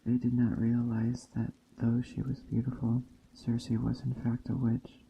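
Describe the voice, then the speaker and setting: A man reading a story aloud in a soft ASMR style, slowly and deliberately, in a hushed bedtime storytelling manner. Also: mid-range voice, monotone, creaky, slight background noise. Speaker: storyteller, narrator. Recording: dull muffled sound, faint background ambience. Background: soft nature ambience.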